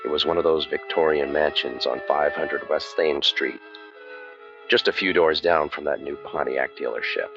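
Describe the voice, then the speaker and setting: Guy acting a super deep world-weary radio noir detective, deadpan and sardonic, dry muffled sound w faint music. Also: moderate pace. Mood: wry, brooding. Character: cynical, wisecracking hardboiled waterfront private eye Pat Novak. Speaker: narrator and lead detective. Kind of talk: old-time radio noir narration.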